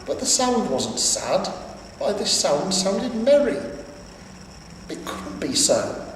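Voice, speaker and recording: A man's voice: sits high, very animated, slow pace. A man reading a rhymed storybook aloud in a dramatic, theatrical way. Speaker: story reader. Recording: roomy, distant, faintly noisy recording.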